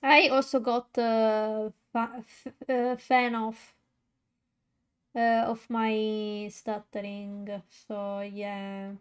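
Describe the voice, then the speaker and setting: Stuttering young woman chatting slowly. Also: fairly high pitch, level tone, smooth. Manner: stutters, many fillers and restarts. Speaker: VTuber streamer. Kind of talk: casual live-stream chat while coloring.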